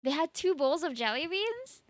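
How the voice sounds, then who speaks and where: A woman's voice: very high voice, very animated, moderate pace, no echo. A woman from Singapore, hosting a stream and chatting casually, laughing often. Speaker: streamer host. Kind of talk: casual chat.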